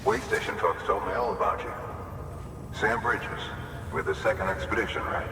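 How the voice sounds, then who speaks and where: Male actor as friendly gruff game outpost worker, deep pitch, long echo, background vehicle noise. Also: moderate pace, natural voice. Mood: friendly, curious. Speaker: way station worker in a video game. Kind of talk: video game cutscene acting. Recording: echoey hall, distant, over vehicle noise.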